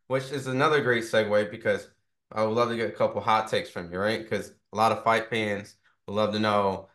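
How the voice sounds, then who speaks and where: A man's voice: lowish voice, fairly even intonation, a little fast, a bit bright. A man interviewing a boxer in a casual way, full of fillers and frequent "right?" tags. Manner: chatty, filler-heavy, keeps asking "right?".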